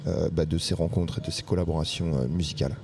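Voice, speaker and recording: A male interviewer with a low, deep voice. He sets up each question slowly, pausing often on fillers between his phrases. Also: fairly even intonation, creaky, a little mellow. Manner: hesitant, full of fillers. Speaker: radio interviewer.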